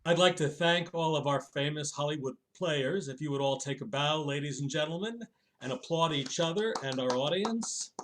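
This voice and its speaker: A man's voice: fairly high pitch, moderate pace. A man hosting an event, speaking to an audience to give closing thanks. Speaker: event host. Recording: clearly noisy recording with clicks.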